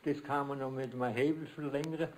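An older man with a Swabian accent from southern Germany, explaining informally as an amateur inventor in halting, repetitive phrases. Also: medium pitch, fairly even intonation, slight background noise, poor audio.

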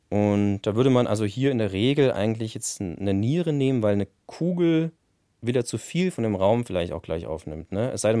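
A man's voice: fairly low pitch, dark tone, no echo, clean studio sound. A man explaining technical things casually as a sound engineer, in a colloquial way with tag questions.

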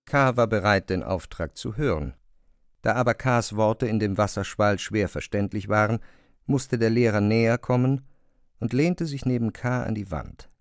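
A man narrating a literary radio drama in a measured, articulate and expressive way. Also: lowish voice, no echo, clean studio sound.

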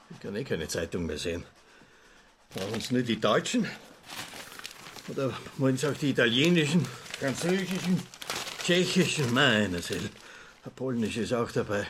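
An actor playing a chatty, self-important greying traveller of about fifty in a radio play, rambling along with an Austrian accent and rhetorical questions. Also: male voice, medium pitch, a little hiss or hum, close to an everyday voice. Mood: jovial, garrulous.

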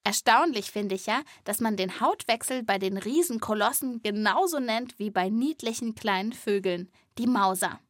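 A woman's voice: mid-range voice, normal speed, hardly any pauses, no echo. A woman hosting a children's podcast, telling stories as scripted narration and addressing young listeners directly, recorded in a studio.

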